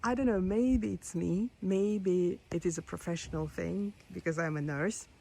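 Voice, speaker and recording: Slow, hoarse, gravelly woman touring her garden. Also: fairly low pitch, mellow. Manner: slow, admiring, frequent throat clearing. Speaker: garden vlogger. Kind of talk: casual garden tour. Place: outdoor rose garden.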